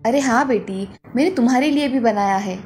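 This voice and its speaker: A woman voicing a caring mother of two grown daughters in a cartoon story, speaking gently and turning firm when she warns them. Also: a little high, brisk, soft background music, natural voice.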